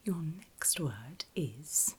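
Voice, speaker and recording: A woman whispering very gently and reassuringly in a breathy, unvoiced ASMR style, as a medical examiner in a roleplay, close to the microphone. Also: deep voice, animated, extremely slow. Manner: gentle, reassuring, checking in often. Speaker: ASMR performer playing an ear examiner.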